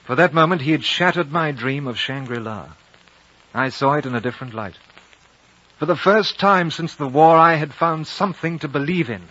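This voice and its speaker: Reflective world-weary adventurer, bright voice, old radio sound. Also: male voice, fairly high pitch, expressive, moderate pace. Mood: wistful, resigned. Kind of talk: 1950s radio drama narration and dialogue. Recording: dull, band-limited 1950 radio broadcast recording.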